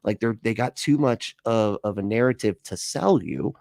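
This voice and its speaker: A man's voice: fairly low pitch, animated, moderate pace, vocal fry, darkish tone, no room sound, clean studio sound. A man giving casual, rambling and argumentative reaction commentary as a livestream host.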